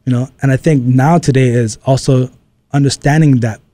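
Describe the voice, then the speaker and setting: A man from Oʻahu, Hawaii speaks as a guest in a close, dry recording. His tone is warm and mellow, and he answers thoughtfully, often circling back and repeating phrases as he works out his ideas. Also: mid-range voice, steady tone, normal speed, no echo. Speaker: guest, strength and conditioning coach. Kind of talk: reflective interview answers.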